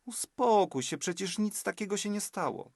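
An excitable storybook boy, acted out by a man, insistent and urgent. The pitch jumps around in a lively way, and the recording is close and dry. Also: normal speed, vocal fry, dry recording, heavily acted. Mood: placating. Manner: urgent, muttering, hissing.

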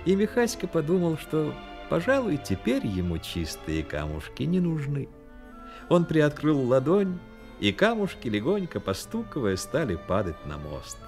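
An actor narrating a Soviet radio play as an earnest, emotive storyteller. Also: male voice, a little high, huge pitch swings, unhurried, darkish tone, faint music behind, full character voice. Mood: reflective.

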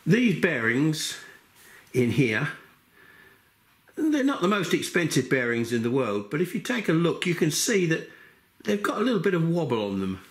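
An older man with a croaky voice from a cold, explaining a workshop build step by step, with frequent "okay" and "um". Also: fairly high pitch, sing-song, moderate pace. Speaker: maker demonstrating a build. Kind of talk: hands-on workshop tutorial. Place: workshop bench. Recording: echoey room.

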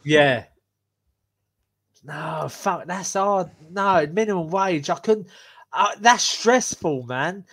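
British man, middle-aged, podcast co-host, fairly high pitch, casual chat, blunt, headset mic. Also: expressive, takes it slowly, pauses often, fairly bright tone. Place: small room at home. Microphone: headset on a video call.

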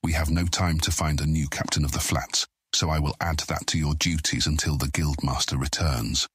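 A shrewd, commanding deputy of a thieves' guild. His booming voice is extremely low and heavily rasped. He speaks formally and deliberately in a dry studio, as in a fantasy audio drama. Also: fairly even intonation, a bit bright, no echo, full character voice. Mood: decisive. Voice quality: booming, husky. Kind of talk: full-cast fantasy audiobook acting.

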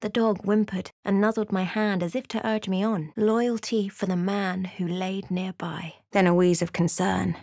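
A woman's voice: medium pitch, fairly even intonation, moderate pace, hardly any pauses, darkish tone, dry recording, lightly acted. Woman acting a brooding fantasy adventurer, dry studio sound. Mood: solemn, watchful. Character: female fantasy adventurer, brooding and watchful storyteller. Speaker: video game voice actor. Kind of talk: dramatic narrated game voice lines.

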